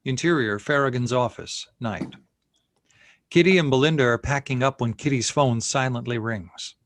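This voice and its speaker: A man's voice: mid-range voice, normal speed, dry recording. A man reading scene headings and stage directions as narrator at a screenplay table read.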